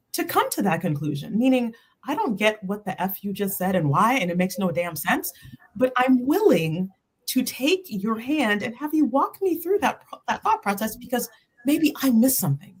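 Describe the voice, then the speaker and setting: A woman's voice: on the high side, very animated, moderate pace, husky. A woman chatting casually on a panel, speaking emphatically and acting out her thoughts as quoted dialogue. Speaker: panelist on a spiritual talk livestream.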